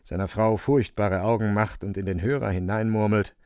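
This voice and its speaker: A man's voice: on the low side, steady tone, normal speed, darkish tone, clear, resonant tone, no echo, clean studio sound. A man narrating a literary radio play with theatrical, emphatic phrasing.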